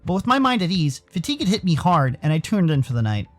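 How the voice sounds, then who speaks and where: An American man from Arizona giving a theatrical, expressive dramatised narration of a visual novel as a streamer. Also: fairly high pitch, fast talker, faint music behind. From Arizona, United States. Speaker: streamer, voice performer.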